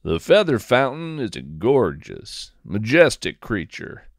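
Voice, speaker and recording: A man narrating scripted first-person fiction as a podcast host, with deliberate, descriptive, measured phrasing. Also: medium pitch, sing-song, no room sound, studio quality.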